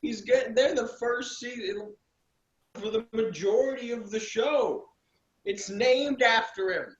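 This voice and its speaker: A man's voice: very high voice, measured pace. A man chatting casually as co-host of a review, sarcastic and given to exaggeration. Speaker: co-host of a TV episode review show. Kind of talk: casual chat, episode review. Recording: dull, roomy lo-fi sound.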